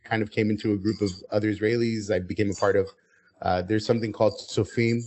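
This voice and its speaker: Low-voiced American man explaining things. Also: steady tone, fast, a little mellow. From United States, Southern California. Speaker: podcast guest. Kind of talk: interview conversation.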